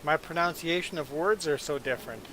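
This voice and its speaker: A man hosting a live stream, chatting casually with viewers; his first language is Low German and he grew up in Belize and Canada. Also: a little fast, a little hiss or hum, poor audio. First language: Plautdietsch (Low German). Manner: relaxed, chatty, answering viewers' chat. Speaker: livestream host.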